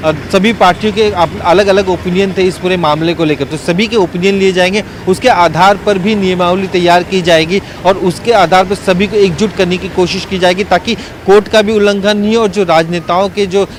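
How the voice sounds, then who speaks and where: High-voiced male field reporter, faint street noise. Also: fairly even intonation, normal speed, few pauses. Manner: hesitant, frequent "aa" fillers in long running sentences. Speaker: news field correspondent. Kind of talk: live unscripted news report.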